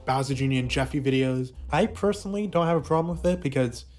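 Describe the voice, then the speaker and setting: A man's voice: medium pitch, fast, a little mellow. Man narrating casual commentary over music. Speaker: video essayist reviewing a puppet show's history. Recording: music playing behind the voice.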